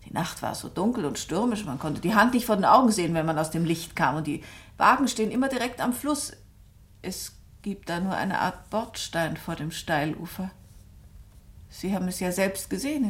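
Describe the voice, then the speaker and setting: A woman's voice: mid-range voice, lightly acted. An actress playing a composed, direct woman novelist in a German radio drama, articulate and dryly matter-of-fact.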